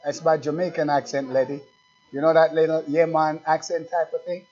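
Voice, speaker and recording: A man preaching a sermon as pastor, swinging from quiet asides to loud, slow, emphatic scripture reading and questions put straight to his congregation. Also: fairly high pitch, level tone. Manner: reads scripture slowly and with emphasis. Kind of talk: fiery sermon. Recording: dull, lo-fi recording.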